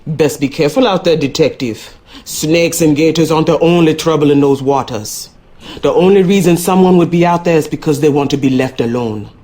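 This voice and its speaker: A man's voice: fairly high pitch, brisk, warm tone, natural voice. An actor playing a folksy local man with an American Southern accent, warning a detective in a video game. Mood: wry, cautionary. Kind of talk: video game character acting.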